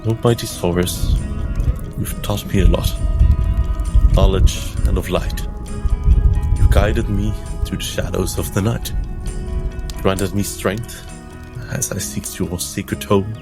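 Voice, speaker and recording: A man's voice: sits low, steady tone, takes it slowly, pauses often, over music. A man playing an earnest, troubled priest in a tabletop game, stammering and unsure of himself. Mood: solemn, reverent.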